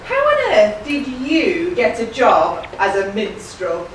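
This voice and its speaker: Woman doing a merry panto outlaw, slow big declaiming, swoopy voice, distant in an echoey hall. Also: medium pitch, huge pitch swings. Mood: bantering. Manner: declaiming, exaggerated. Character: boisterous merry outlaw of Sherwood Forest. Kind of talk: broad pantomime stage acting. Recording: echoey hall from far off, faint noise.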